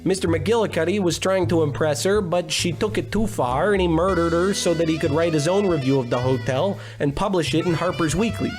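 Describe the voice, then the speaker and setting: A man's voice: on the high side, brisk, hardly any pauses, music in the background, lightly acted. A man playing a self-important hard-boiled private detective. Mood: brooding narration. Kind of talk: comic 1940s radio noir narration and dialogue.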